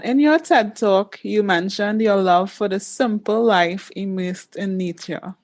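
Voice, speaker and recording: A young woman from the Caribbean hosts a podcast, reading listener notes and guest introductions in a formal, polished manner. Her voice sits at a middle pitch and is steady. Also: a little slow. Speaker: podcast host. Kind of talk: scripted podcast intro and interview.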